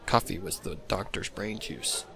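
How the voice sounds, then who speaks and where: A man's voice: sits low, normal speed, gravelly, very noisy. A man reading scripted story narration as the narrator of a fan fiction.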